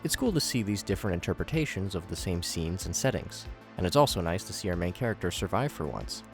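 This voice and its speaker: A man's voice: low-pitched, sing-song, quick pace, soft background music. A man narrating a scripted recap with commentary, adding wry, joking asides.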